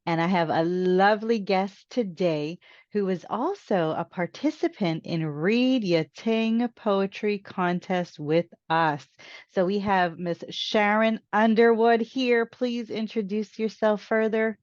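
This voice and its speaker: A woman from Trinidad and Tobago hosts an interview over a video call and welcomes her guest. She speaks at a middle pitch and chimes in with brief affirmations. Her audio is dry and a little dull. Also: slow pace, a little mellow, dry recording. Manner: welcoming, short affirming replies. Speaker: host. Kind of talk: interview host.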